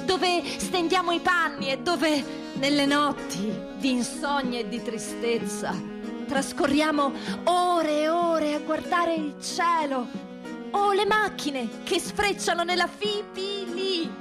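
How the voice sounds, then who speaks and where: A woman's voice: high voice, slow, music in the background, voice pushed up. A woman playing a wry, wistful prison inmate in a theatrical radio monologue, ironic and dreamy, lingering on her words.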